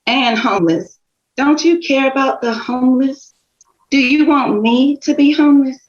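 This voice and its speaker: A woman's voice: sits high, normal speed, natural voice. A woman playing a flirtatious, needy, melodramatic mother in a screenplay table read. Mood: pleading. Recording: dull lo-fi sound.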